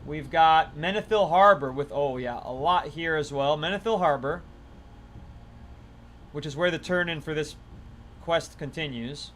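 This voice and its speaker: A man's voice: on the high side, unhurried, long pauses, fairly bright tone, slight background noise. A man casually chatting on a gaming livestream, playful and talkative, thanking viewers as he plays. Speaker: livestream gamer.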